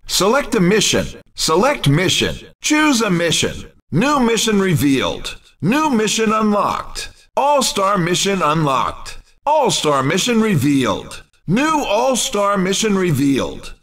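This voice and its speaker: Excitable race announcer, high bright voice, showman, emphatic, arcade video game style. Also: far from the mic, heavily acted. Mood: crisp announcing. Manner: punchy, theatrical, emphatic delivery. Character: exuberant male race commentator, upbeat showman.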